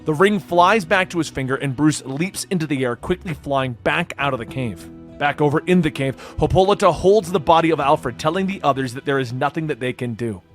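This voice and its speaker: A man's voice: fairly high pitch, a little fast, faint music behind. A man narrating a comic-book story as a dramatic scripted read.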